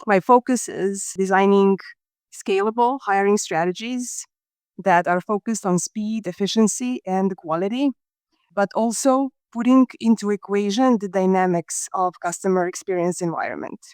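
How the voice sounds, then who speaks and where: A woman from Croatia, a podcast guest explaining her hiring work in a measured, businesslike way. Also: medium pitch, darkish tone, smooth, no room sound, studio quality. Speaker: guest, talent acquisition leader. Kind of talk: podcast interview answers.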